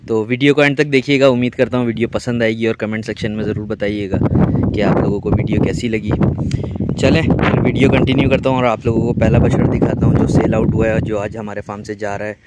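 Low, flat-voiced man vlogging a cattle farm. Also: fast talker, very noisy. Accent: Pakistani Urdu. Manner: chatty, addresses viewers directly, many fillers. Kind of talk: casual vlog narration. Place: outdoors at a sandy cattle farm.

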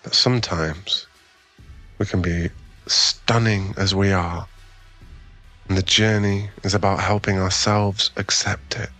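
Man acting a low-voiced, awkward, smitten gym guy. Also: steady tone, slow pace, pauses often, bright, forceful tone, soft background music, heavily acted. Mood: reassuring. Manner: stammering, hesitant, earnest, conversational. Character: awkward, flustered, kind young male gym owner with a crush. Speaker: ASMR roleplay voice actor. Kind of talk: ASMR boyfriend roleplay.

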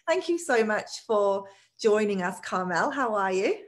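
A woman's voice: mid-range voice, expressive, unhurried. Woman host, upbeat, filler-heavy, interviewing on a video call. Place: home room.

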